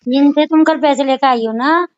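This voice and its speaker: A woman's voice: high voice, moderate pace. A woman from pleading on a phone call, struggling to get a word in. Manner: pleading, keeps trying to be heard. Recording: muffled phone line.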